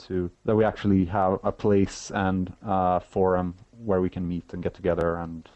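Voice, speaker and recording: A man giving practical details as an interview guest, casual and deliberate, a little halting, on a muffled recording. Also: low-pitched, little pitch movement, a little mellow, no room sound. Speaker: interview guest, event organizer. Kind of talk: casual informational interview talk.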